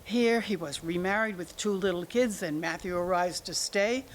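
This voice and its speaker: A woman's voice: on the low side, normal speed, natural voice. A woman playing an evasive, argumentative older mother in denial about her son, in a staged drama reading. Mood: pleading, regretful. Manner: deflecting, argumentative, talks in analogies. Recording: noisy room ambience.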